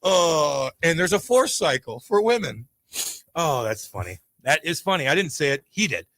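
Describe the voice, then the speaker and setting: A man's voice: fairly high pitch, very animated, moderate pace, fairly bright tone, no echo. A man hosting a sports talk show, joking and stumbling over his words while reading listener comments. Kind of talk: casual sports talk chat. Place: radio studio.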